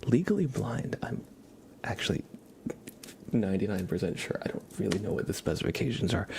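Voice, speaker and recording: A man chatting casually on an ASMR livestream in a soft, often whispered voice, relaxed and rambling, close to the microphone. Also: low voice, vocal fry, a little hiss or hum. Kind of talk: ASMR, casual chat with viewers.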